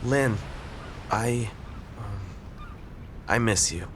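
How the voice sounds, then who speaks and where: A man's voice: on the low side, drawn-out words, a little hiss or hum. A man playing a nervous, timid gang mechanic with a hesitant, jittery way of talking in a video game cutscene. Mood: wistful.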